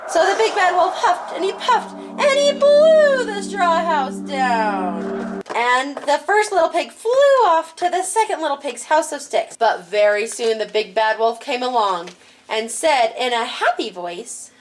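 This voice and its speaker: A woman hosting and telling a children's story in an expressive storybook style. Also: sits high, unhurried, noisy background. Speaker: host and storyteller. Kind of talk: animated storybook narration for children. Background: music.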